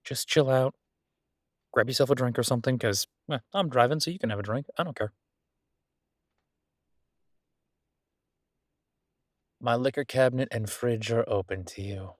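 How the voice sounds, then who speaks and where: A man's voice: medium pitch, a little fast, no room sound, studio quality, lightly acted. A man playing a friendly, self-deprecating young billionaire in a casual, intimate audio roleplay, rambling informally and playfully defensive as he talks to the listener. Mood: easygoing, reassuring.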